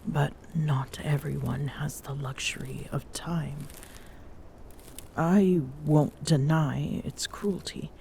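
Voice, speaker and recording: A woman playing a regal, smitten Amazonian queen in an intimate ASMR romance roleplay, speaking formally and softly with coy pauses, often near a whisper. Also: deep voice, fairly even intonation, gravelly, a little hiss or hum, heavily acted. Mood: earnest, murmuring. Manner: formal, haughty diction with coy hesitations and murmured endearments.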